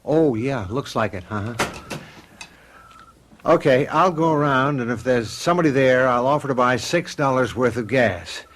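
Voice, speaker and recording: An actor playing an uneasy, wry husband in a radio drama, casual on the surface and a bit hesitant. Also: male voice, medium pitch, lively intonation, moderate pace, fairly bright tone. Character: uneasy, wry husband, privately afraid of touching people. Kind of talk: old-fashioned radio drama acting. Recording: noisy vintage radio drama tape.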